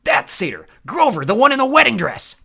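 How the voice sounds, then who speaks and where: A man voicing a brash, defiant teenage warrior girl who shouts her lines. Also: high-pitched, big pitch swings, brisk, vocal fry, slightly rough, pitched above the performer's own voice, exaggerated voice. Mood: defiant yelling.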